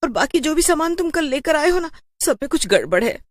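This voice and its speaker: A woman's voice: high voice, very animated, a little fast, no room sound, clean studio sound, voice pushed up. A woman voicing a nagging, urgent wife and mother in a cartoon horror story. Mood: alarmed, urgent. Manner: scolding, urgent, exclamatory. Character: nagging, alarmed young mother and wife ordering husband around.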